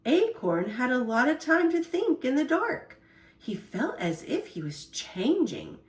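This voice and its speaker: A woman storyteller reading a children's picture book aloud in an expressive, deliberate way. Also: high voice, echoey room.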